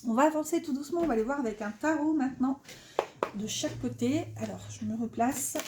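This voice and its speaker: A woman's voice: high-pitched, brisk, noisy background. A middle-aged woman giving a casual tarot reading, chatty and rambling, with many fillers and restarts. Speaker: tarot reader.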